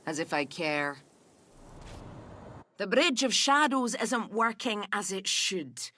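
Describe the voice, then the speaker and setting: A woman's voice: mid-range voice, bright, forceful tone, full character voice. A woman voicing a haughty, scornful female elf ranger in a video game, speaking in a dry, sardonic, disdainful way. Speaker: voice actor. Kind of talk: video game character voicelines.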